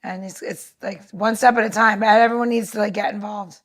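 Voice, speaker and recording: A woman's voice: medium pitch, fairly even intonation, brisk. A woman co-hosting a podcast in casual conversation, talking in an earnest, rambling way. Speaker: podcast co-host.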